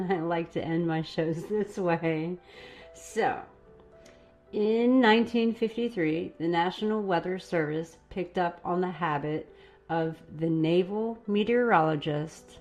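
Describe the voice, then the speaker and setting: A woman hosting a live stream, chatting casually and rambling a little while her voice is going hoarse. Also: fairly low pitch, darkish tone, lo-fi sound. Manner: casual, rambling, laughs at herself. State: losing her voice. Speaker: host.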